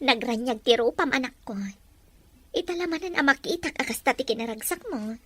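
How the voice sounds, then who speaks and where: A woman's voice: sits high, expressive, normal speed, fairly bright tone, dry recording. A woman playing a long-suffering but tender middle-aged mother in an emotional, dramatic radio-drama style. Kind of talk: Ilocano radio-drama acting.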